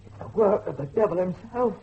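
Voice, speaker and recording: An actor playing a frightened rustic villager in a horror audio drama, telling of dark things in a dramatic, fearful way. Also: male voice, high-pitched, animated, a little fast. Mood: terrified. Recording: muffled lo-fi recording with faint noise.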